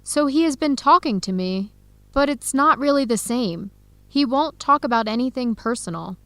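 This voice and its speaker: An actress playing an earnest young researcher who confides carefully and clearly in an English-learning radio drama. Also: female voice, a little high, animated, moderate pace, fairly bright tone, dry recording. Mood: troubled, confiding.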